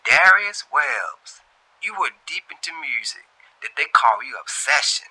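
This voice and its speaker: A man's voice: fairly high pitch, big pitch swings, unhurried, gravelly, pushed and bright, husky, pitched above the performer's own voice, heavily acted, rough recording. A man voicing a feisty, easily annoyed young woman in a storytelling reading, sassy and emphatic. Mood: probing. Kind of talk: amateur storytelling.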